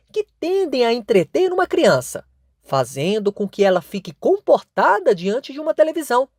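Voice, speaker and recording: A Brazilian woman reporter reading scripted news narration in an expressive, announcing way. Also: medium pitch, no echo, clean studio sound. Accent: Brazilian Portuguese.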